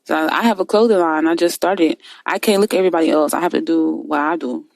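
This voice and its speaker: A woman from Texas with a mixed Texas accent, talking in a relaxed, conversational way. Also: low voice, fast talker, gravelly, dry recording. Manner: relaxed, conversational, says "you see what I'm saying". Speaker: caller. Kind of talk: casual chat.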